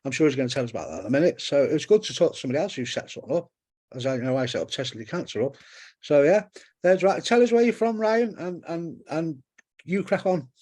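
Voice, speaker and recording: A man with a Scouse accent hosting a casual interview, chatty and encouraging with quick back-and-forth. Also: fairly high pitch, lively intonation, a little mellow. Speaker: podcast host.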